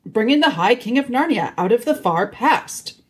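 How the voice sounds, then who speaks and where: A woman's voice: fairly high pitch, lively intonation, moderate pace, slightly rough, pitched above the performer's own voice. A woman voicing a plain-spoken, practical male dwarf guide in a storybook reading. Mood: brisk.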